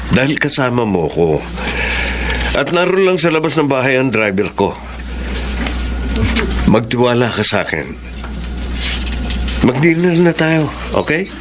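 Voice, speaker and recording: An actor playing a scheming boss who smoothly coaxes a young woman in a radio drama. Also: medium pitch, big pitch swings, unhurried, pauses often. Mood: coaxing. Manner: smooth, coaxing, then sinister. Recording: noisy old radio recording.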